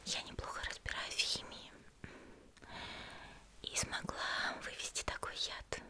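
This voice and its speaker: Woman playing a whispering, gentle demon slayer. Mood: calm, confiding. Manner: soft, reassuring, unhurried. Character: petite, gentle, elegant female demon slayer caring for the wounded. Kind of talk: whispered ASMR roleplay.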